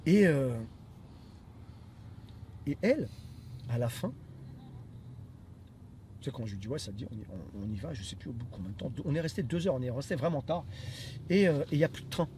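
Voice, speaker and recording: A man telling a story in casual conversation, animated and full of fillers and restarts. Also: medium pitch, fairly quick, pauses often. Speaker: vlogger telling a dating story. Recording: noisy street traffic behind.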